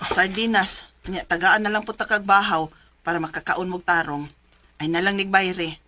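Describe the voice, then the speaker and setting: An actress with a Cebuano Filipino accent playing a concerned, generous shopkeeper neighbor who fusses and mildly scolds in a radio drama. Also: female voice, on the low side, steady tone, moderate pace, bright, forceful tone.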